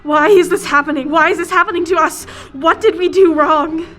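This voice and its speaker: A woman acting an anxious, high, bright-voiced young scientist. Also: steady tone, a little fast, soft background music. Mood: distraught. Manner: anxious, pleading. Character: frightened, guilt-ridden young female scientist. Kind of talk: amateur radio play acting.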